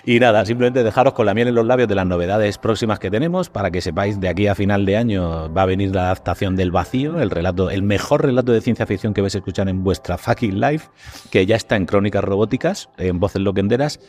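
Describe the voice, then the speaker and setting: Fast-talking, low-voiced Spanish man hosting a podcast. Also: darkish tone. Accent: Spanish from Spain. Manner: informal, colloquial, with slangy swearing. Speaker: podcast host. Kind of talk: casual podcast chat.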